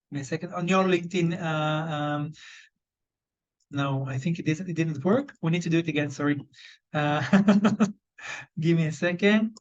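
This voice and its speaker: A man with an Israeli accent and a fairly high voice runs an online job search training. He speaks loosely, full of ums and self-corrections. The video call audio is slightly dull. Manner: loose, conversational, lots of fillers and restarts. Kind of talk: live online training session.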